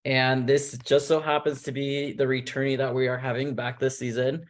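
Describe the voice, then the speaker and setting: A man hosting an online cast reveal, talking in a rambling, relaxed way with plenty of fillers. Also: medium pitch, moderate pace. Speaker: host revealing a game cast.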